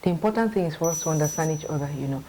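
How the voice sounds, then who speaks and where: A woman's voice: deep voice, rapid-fire, mellow, slight background noise. A middle-aged woman, telling her career story in an interview, thoughtful, with many restarts and "you know". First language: English. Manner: reflective, hesitant restarts, frequent "you know", laughs easily. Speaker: interview guest, marketing manager. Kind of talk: conversational interview.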